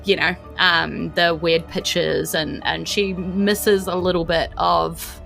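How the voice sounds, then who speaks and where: A woman's voice: fairly low pitch, little pitch movement, slow pace, gravelly, a bit bright, faint music behind. A woman with a New Zealand accent narrating a tabletop game as game master, casual and rambling.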